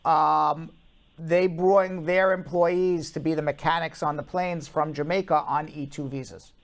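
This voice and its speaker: A man's voice: on the high side, steady tone, unhurried. A man hosting a live call-in show, giving legal advice to callers in a blunt, emphatic, repetitive way.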